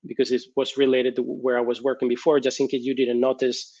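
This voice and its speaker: A man's voice: medium pitch, completely flat delivery, fairly quick, dark tone, highs cut off. A man from Spain with a Spanish accent, presenting research at a conference over a video call. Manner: frequent fillers and restarts while explaining. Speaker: conference speaker. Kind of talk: technical conference talk.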